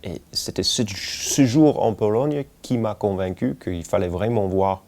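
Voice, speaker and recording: A man in his forties from the United States with an American accent, speaking haltingly and searching for words in an interview. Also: on the low side, darkish tone. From United States, Kentucky. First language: English. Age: 40s. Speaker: winemaker. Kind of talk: relaxed documentary interview, telling life story.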